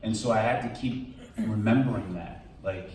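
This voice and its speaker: A man with a lowish voice talks candidly and casually at a premiere Q&A, often quoting his own thoughts. He is heard from some distance in a very echoey theater with a faint hum of the room behind him. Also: moderate pace, a little mellow. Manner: candid, casual, quotes himself. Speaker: documentary subject. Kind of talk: premiere Q&A answers. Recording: echoey hall, from far off.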